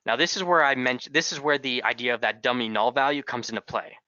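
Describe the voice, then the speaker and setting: A man giving a software tutorial as a trainer, talking in a halting way with repeated words, in a slightly dull recording. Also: mid-range voice, dry recording.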